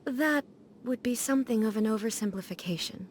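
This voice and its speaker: An actress playing a solemn, proud lightning deity who rules a nation in a video game, speaking in a grave, measured, formal way. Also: female voice, fairly high pitch, a little mellow, a little hiss or hum, lightly acted. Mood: stern. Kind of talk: dramatic video game voice acting.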